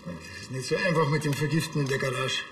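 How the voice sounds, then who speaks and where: A man's voice: medium pitch, sing-song, quick pace, a bit bright, slight background noise. An actor playing a wry, grumbling provincial policeman with a Bavarian accent in a TV comedy. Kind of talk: naturalistic TV comedy acting. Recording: echoey room, faint music.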